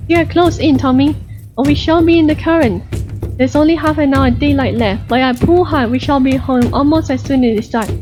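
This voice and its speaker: A woman's voice: high-pitched, fairly quick, close to an everyday voice. A woman voicing a bold, determined elder sister in a storybook, firm and impatient. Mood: urgent, determined. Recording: dull lo-fi recording, music behind.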